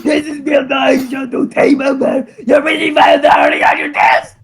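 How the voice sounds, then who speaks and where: A man voicing a brash, pushy team boss in a comedic script reading, with loud, strained, over-the-top delivery. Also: very high-pitched, huge pitch swings, normal speed, heavily acted. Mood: bossy shouting.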